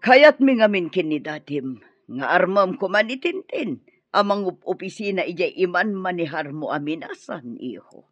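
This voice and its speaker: A woman's voice: lowish voice, big pitch swings, normal speed, dry recording, dull audio. An actress with an Ilocano accent playing a scornful, scheming middle-aged mother in a radio drama. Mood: scheming, coaxing.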